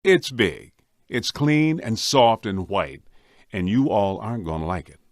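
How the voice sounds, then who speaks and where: An actor playing a streetwise, wisecracking, grumbling ghost hunter in a scripted video game. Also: male voice, on the low side, big pitch swings, a little slow, dry recording, clean studio sound. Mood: wry, questioning. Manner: wry, streetwise, complaining.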